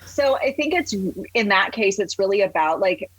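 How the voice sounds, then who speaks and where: Candid, emphatic woman with a husky voice. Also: medium pitch, lively intonation, moderate pace, dry recording, low-quality recording. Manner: candid, emphatic, acts out quoted remarks, swears casually. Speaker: podcast guest, empowerment coach. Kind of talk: conversational interview talk.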